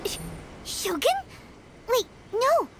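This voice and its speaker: A woman voicing a small, excitable, chatty fairy companion in a video game, with a squeaky childlike voice. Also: slow pace, very noisy, exaggerated voice. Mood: alarmed. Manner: bubbly, exclamatory, refers to self in third person. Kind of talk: video game character acting. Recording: background music.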